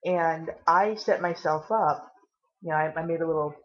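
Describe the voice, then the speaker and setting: A tired, caffeinated woman vlogging casually with a low voice, rambling and swearing now and then. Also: moderate pace, highs cut off.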